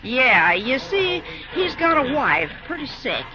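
A woman's voice: high voice, sing-song, over music, heavily acted. An actress playing a folksy, nosy, kindly lunch-counter woman with a rural American accent in an old-time radio drama. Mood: kindly, gossipy. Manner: folksy, chatty, drawn-out exclamations. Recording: dull old radio recording, crowd noise.

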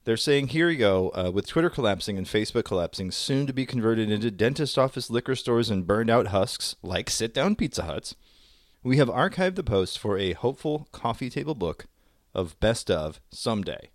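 A man's voice: lowish voice, animated, moderate pace, dry recording, clean studio sound. A man co-hosting a casual comedy podcast, telling stories in a wry, wordy way with wisecracks. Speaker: podcast co-host.

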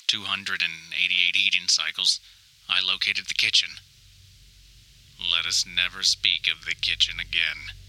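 Man acting a bright-voiced, melodramatic trapped diarist. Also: low voice, full character voice, low-quality recording. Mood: solemn. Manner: grandiose, theatrical, formal wording. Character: trapped, melodramatic grown son keeping a survival diary. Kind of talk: scripted audio diary monologue, mock-survivalist narration.

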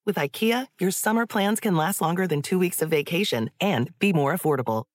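Woman ad voiceover, slightly low pitch, dry studio sound, scripted read. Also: lively intonation, a little fast, no room sound. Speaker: commercial announcer. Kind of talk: scripted ad narration.

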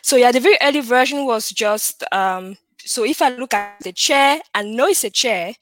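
A woman in her thirties from Cameroon with a French-speaking Cameroonian accent, chatting as an interview guest about her research, animated and laughing often. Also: fairly high pitch, moderate pace. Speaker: guest, computer vision researcher. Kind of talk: casual interview chat.

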